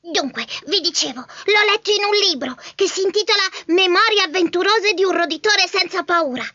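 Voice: extremely high pitch, brisk, sharp tone, no echo. An actor doing a small, eager mouse nephew. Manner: stammering, eager. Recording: dull lo-fi audio with clear background noise.

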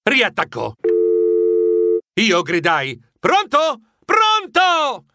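Excitable, high-voiced mouse narrator. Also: male voice, a little slow, heavily acted. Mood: alarmed, dramatic. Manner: animated, fretful, dramatic. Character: excitable, timid male mouse narrator and newspaper editor. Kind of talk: theatrical children's story narration.

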